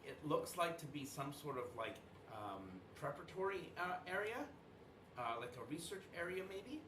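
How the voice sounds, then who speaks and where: Rambling game master in an echoey room. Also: male voice, fairly high pitch, expressive, moderate pace, recorded from a distance, noisy background. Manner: rambling, full of fillers and restarts. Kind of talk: narrating a tabletop game.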